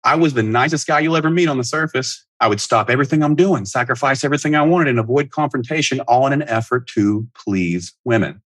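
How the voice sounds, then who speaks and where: A man's voice: medium pitch, quick pace, few pauses, a bit bright, no room sound, clean studio sound. A man in his thirties giving a scripted motivational monologue as a podcast host and life coach, speaking in an earnest, emphatic, instructive way.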